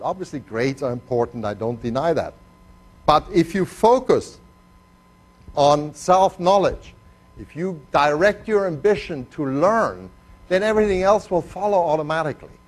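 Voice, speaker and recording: An older man from Argentina, a native Spanish speaker, gives a chemistry lecture with a mixed foreign accent. He is digressive and self-deprecating and pauses often on "uh.". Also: a little high. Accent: mixed foreign accent, Spanish first language. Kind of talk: university lecture.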